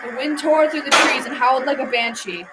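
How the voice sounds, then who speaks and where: A woman's voice: high-pitched, brisk, close to an everyday voice. A girl of about twelve or thirteen narrating a folktale radio drama from a script, occasionally tripping over words. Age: child, around 12-13. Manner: read aloud with stumbles. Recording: noisy amateur school recording.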